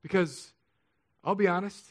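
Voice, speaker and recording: Pastor, male, 30s, earnest confessional preaching, slightly high-pitched. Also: a little slow. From Southern California. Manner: earnest, confessional, swings from soft to emphatic. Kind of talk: sermon.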